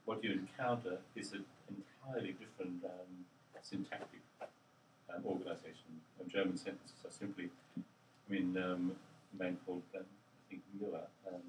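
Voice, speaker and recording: An older man, a linguist, answering interview questions in a halting, thoughtful way with many pauses and ums. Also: low-pitched, background noise. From Australia. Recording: roomy, distant mic with faint ambience.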